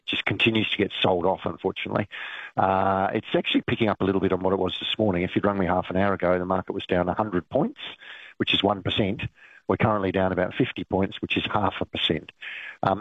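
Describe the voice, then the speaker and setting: A man with a cold, a little croaky, giving a conversational market update with frequent fillers. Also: sits low, no echo, lo-fi sound. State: has a cold, a bit croaky. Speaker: market analyst.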